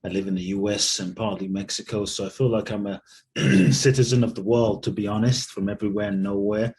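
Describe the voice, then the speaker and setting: A man in his forties, born in Ghana and raised in London, speaks as a podcast guest in a low, steady voice. He tells his story in an earnest, measured and reflective way. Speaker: guest, spiritual speaker and author.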